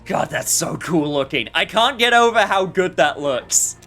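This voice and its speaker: Higher-voiced man commenting on gameplay. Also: animated, a little slow, a bit bright, soft background music. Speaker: let's play streamer.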